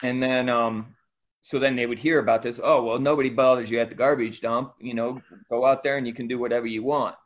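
A man's voice: mid-range voice, steady tone, brisk. A middle-aged man from Ecuador, an interview guest telling his story conversationally, on a slightly dull recording. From Ecuador, raised by missionary parents. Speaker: interview guest, missionary aid worker.

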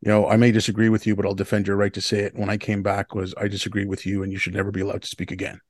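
A man in his fifties from Canada telling his story casually as an interview guest, often restarting his sentences in a halting, conversational way. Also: on the low side, completely flat delivery. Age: 50s.

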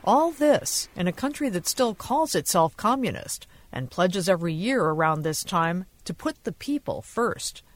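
A woman's voice: fairly low pitch, sing-song, a little slow, hardly any pauses, no echo. Woman reporter, dry close studio sound. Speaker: foreign correspondent. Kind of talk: scripted radio news report narration.

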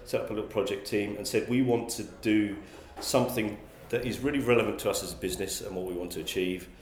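A man's voice: on the low side, fairly even intonation, fast talker. A man, answering interview questions thoughtfully and often restarting his sentences. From England. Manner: thoughtful, frequent restarts and repeated words. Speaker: guest, magazine publisher. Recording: roomy echoey sound.

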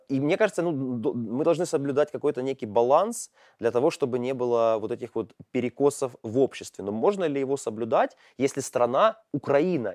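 A man hosting a casual discussion, speaking thoughtfully with many pauses and fillers. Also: medium pitch, darkish tone, no echo, studio quality.

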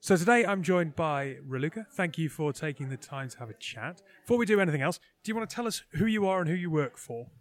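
A man's voice: a little high, lively intonation, fast, hardly any pauses, dry recording. A man hosting a podcast, bantering jokily with his co-host and then interviewing a guest in a friendly way. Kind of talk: casual podcast chat and interview.

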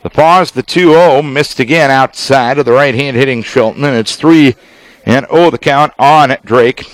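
A man doing live baseball play-by-play as a radio announcer, speaking in measured, clipped phrases with deliberate pauses. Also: mid-range voice, dry recording. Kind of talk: live sports play-by-play commentary.

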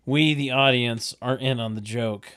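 A narrator reads comic stage directions at a slow pace. The pitch is moderate and the delivery deadpan, with wry, wordy, mock-literary commentary. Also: smooth, dry recording, clean studio sound. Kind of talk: deadpan comedic script narration.

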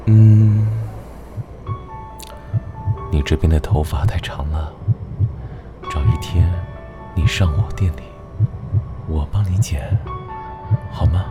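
A man playing a gentle, teasing young male hair stylist in a romantic radio drama, speaking reassuringly. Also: extremely low pitch, slow pace, pauses often. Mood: tender. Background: music.

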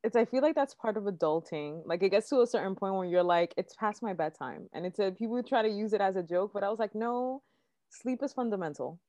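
A woman's voice: medium pitch, expressive, brisk, warm tone, dry recording. A woman hosting a podcast, welcoming a guest with enthusiasm and rambling chatty asides. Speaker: podcast host. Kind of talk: casual podcast chat. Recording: dry, dull lo-fi sound.

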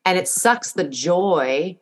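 A woman guest actress talking in a casual chat, earnest and animated. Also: lowish voice, slow.

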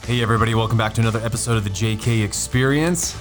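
Male podcast host, very low and a bit rough from a cough, loose and jokey. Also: racing, soft background music. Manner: jokey, rambling, self-mocking. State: voice deeper than usual after a cough. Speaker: podcast host, coach and CEO. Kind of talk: casual podcast chat.

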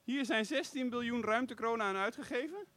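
A man's voice: extremely high pitch, fairly quick, pushed and bright, no echo. A man playing a pedantic, confused helmsman and put-upon sidekick in a comic sci-fi radio play, hesitant and questioning. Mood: underwhelmed.

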